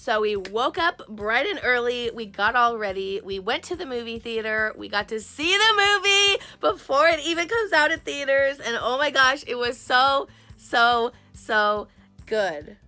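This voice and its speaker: Woman, hoarse, excitable, gushing, chatty vlog storytelling, bright sharp tone. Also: fairly high pitch, a little slow, faint music behind. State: hoarse, losing her voice. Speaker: vlogger.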